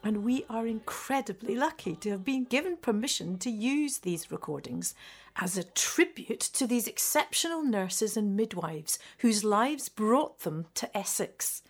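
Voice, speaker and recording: A woman, the artistic director of a theatre company, reading a scripted podcast introduction slowly and formally. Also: fairly high pitch, lively intonation. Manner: slow, deliberate, formal delivery.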